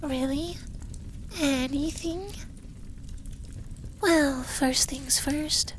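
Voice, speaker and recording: A man voicing an effeminate young dragon lover in an intimate ASMR roleplay, speaking softly and breathily, teasing and commanding yet doting. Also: extremely high pitch, fairly even intonation, extremely slow, slight background noise, heavily acted. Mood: stern, caring.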